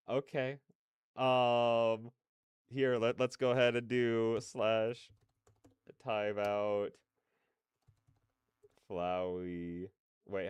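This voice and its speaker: A man's voice: lowish voice, smooth, no echo. A man chatting casually on a livestream as a reaction host, close to the mic.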